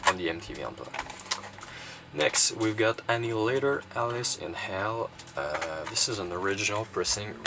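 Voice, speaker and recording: A man with a deep, fry-laden voice chats through his collection in an unscripted way. He rambles and hesitates, leaning on filler words. It sounds like a home recording with noticeable background noise. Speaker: collector presenting cassette collection. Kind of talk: casual unscripted show-and-tell.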